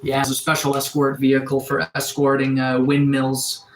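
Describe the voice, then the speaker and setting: A man in his thirties from Atlantic Canada, chatting casually on a live stream, relaxed and rambling with lots of fillers and restarts. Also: medium pitch, moderate pace, echoey room, a little hiss or hum, rough recording. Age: 30s. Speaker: livestreamer. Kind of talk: casual live-stream chat reading viewer comments. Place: sunroom.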